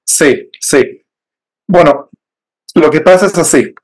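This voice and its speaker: A man from Israel whose first language is Hebrew, speaking in a measured, hesitant way with fillers as an interview guest telling his faith story. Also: on the high side, a little mellow, rough recording. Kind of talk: personal faith testimony.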